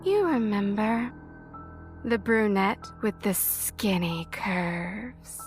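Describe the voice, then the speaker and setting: A woman's voice: medium pitch, very slow, soft background music. An actress playing an ancient serpent monster posing as a seductive woman, mocking her prey in a sing-song, mock-sweet way for an audio drama. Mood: teasing, gloating.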